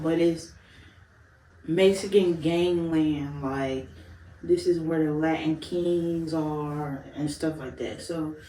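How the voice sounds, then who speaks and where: A woman's voice: deep voice, dark tone, far from the mic, slight background noise. A young woman from Chicago, a guest in a casual interview, telling stories candidly, laughing often and swearing freely. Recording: roomy, off-mic, faint ambience.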